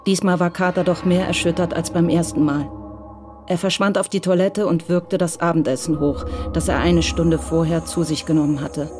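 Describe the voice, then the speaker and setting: A woman's voice: fairly low pitch, fairly even intonation, normal speed. Female drama narrator over music. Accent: Standard German. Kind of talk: scripted audio drama narration.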